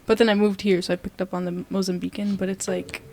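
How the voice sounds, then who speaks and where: A woman's voice: medium pitch, little pitch movement, fast talker, a little mellow. Casual teenage girl with many fillers. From Netherlands. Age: teens. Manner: casual, filler-heavy, laughs often. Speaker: guest sprinter. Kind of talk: casual interview chat.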